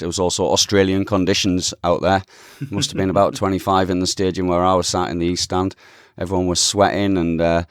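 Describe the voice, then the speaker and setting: A man hosting a football podcast, hoarse this morning, recapping the match in a chatty, enthusiastic way. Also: deep voice, no room sound, studio quality. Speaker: podcast host. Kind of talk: casual football podcast chat.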